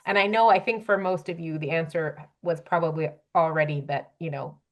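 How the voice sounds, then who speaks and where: Low-pitched woman hosting a panel. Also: fairly even intonation, a little fast. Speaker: panel host. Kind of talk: hosting a conversational panel discussion.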